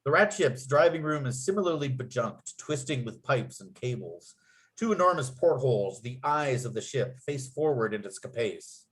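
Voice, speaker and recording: A man's voice: mid-range voice, big pitch swings, normal speed, fairly bright tone, poor audio. A man reading script stage directions aloud as narrator, with clipped, dramatic scene headings. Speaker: narrator and writer.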